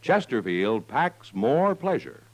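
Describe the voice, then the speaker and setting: American guy doing old 50s radio ad, super expressive up and down voice, friendly salesman patter. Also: mid-range voice, takes it slowly, rough recording. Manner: chummy, salesmanlike, direct to listener. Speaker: radio commercial announcer. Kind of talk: 1950s radio advertising pitch.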